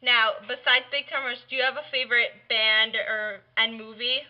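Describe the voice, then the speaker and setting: A woman's voice: fairly high pitch, level tone, normal speed. A woman interviewer asking casual questions on a phone call, with brief encouraging responses. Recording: muffled telephone line.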